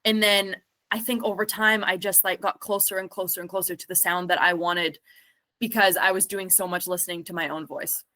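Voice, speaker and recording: A woman's voice: on the low side, steady tone, fairly quick, bright, forceful tone. A woman singer and self-producing artist, answering interview questions in a chatty, reflective way full of fillers.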